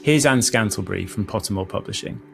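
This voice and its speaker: A man's voice: on the low side, fast, creaky, soft background music. A man hosting an interview, putting questions to guests in a conversational way.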